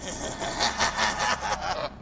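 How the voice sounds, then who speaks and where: A man voicing a sly, menacing phantom animatronic bear with a gravelly voice in a fan voice reel. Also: high-pitched, big pitch swings, very slow, background noise, exaggerated voice. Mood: sly menace.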